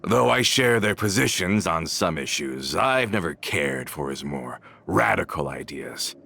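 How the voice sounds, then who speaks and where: An actor playing a bitter, vengeful former mafia member in a video game, with theatrical, accusing delivery that dwells on each phrase. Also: male voice, low voice, sing-song, unhurried, vocal fry, pushed and bright, slight background noise, heavily acted. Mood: cold resentment. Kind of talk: dramatic video game voice acting.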